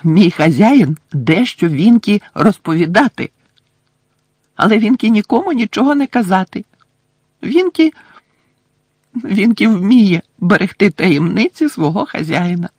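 Crying squeaky lady elf, broken grammar, swoopy voice, husky. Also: expressive, unhurried, long pauses, darkish tone, dry recording. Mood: indignant. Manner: broken grammar, speaks of herself in the third person. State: sobbing. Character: tearful devoted female house-elf, shrill and distraught.

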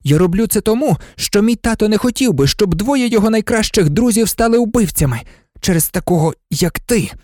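A brave, earnest teenage boy, acted by a woman narrator. He speaks with breathless insistence and lively swings of pitch, in a dry audiobook recording. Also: brisk, no echo, natural voice. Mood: cold disgust.

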